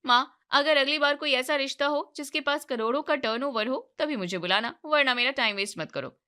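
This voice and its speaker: Woman voicing a sharp, greedy young daughter. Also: sits high, brisk, pitched above the performer's own voice. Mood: demanding. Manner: blunt, sarcastic, demanding. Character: sharp, greedy, money-minded young daughter, scornful and insistent. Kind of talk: moral-story cartoon voice acting.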